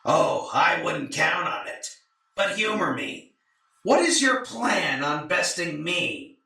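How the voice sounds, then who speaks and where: A man voicing a sinister, gloating Santa Claus villain in a theatrical, taunting, drawn-out way for an audio drama. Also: a little high, sing-song, creaky, pushed and bright, full character voice. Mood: mocking, theatrical. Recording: echoey room, distant from mic.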